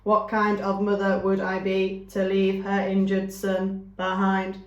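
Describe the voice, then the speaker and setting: A woman voicing a gentle female robot mother who speaks slowly and evenly. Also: mid-range voice, completely flat delivery, muffled recording, natural voice. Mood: calm. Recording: roomy echo.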